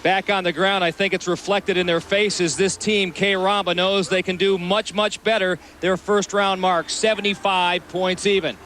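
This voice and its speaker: High pitched guy announcing sports on tv, dramatic play by play, noisy background. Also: flat delivery, moderate pace, few pauses, a bit bright. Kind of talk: dramatic sports broadcast commentary. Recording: clear background noise, outdoor ambience.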